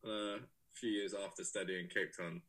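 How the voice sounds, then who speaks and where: Husky, bright-voiced young man chatting. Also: sits low, normal speed. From England, raised in South Africa. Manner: relaxed, rambling, many fillers and restarts. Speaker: guest, photographer and director. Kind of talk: casual interview chat.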